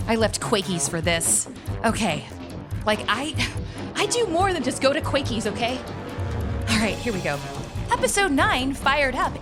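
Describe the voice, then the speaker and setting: A woman playing a brash, sassy, impatient fantasy character who reads audio drama credits with boastful, exasperated asides. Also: on the high side.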